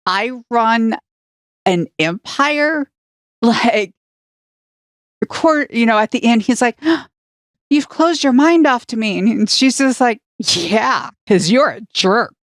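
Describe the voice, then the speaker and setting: A woman co-hosting a fan podcast in casual chat, animated and acting out lines in quotes. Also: a little high, takes it slowly, no room sound, clean studio sound. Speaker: podcast co-host.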